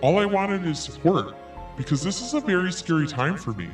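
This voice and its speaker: A man hosting a podcast, reading an email out loud in a mocking tone and breaking in with snarky asides. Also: high voice, expressive, a little fast, recorded from a distance, over music. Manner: sarcastic, cutting in with snarky asides. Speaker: podcast host. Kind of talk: commentary, reading an email aloud.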